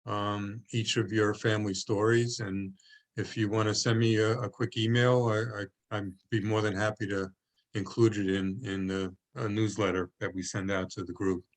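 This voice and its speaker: Hesitant low-voiced man hosting a call. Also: level tone, normal speed. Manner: hesitant, many fillers and restarts. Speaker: meeting organizer. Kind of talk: online video call meeting. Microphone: video call.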